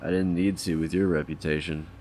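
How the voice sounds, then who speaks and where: A low male voice acted by a man as a hard-bitten police detective in an audio crime drama. He is terse, profane and pushy, with clearly noisy night-time ambience behind him. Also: little pitch movement, fairly quick, darkish tone, natural voice. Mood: urgent. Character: gruff, driven, foul-mouthed male police detective. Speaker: voice actor.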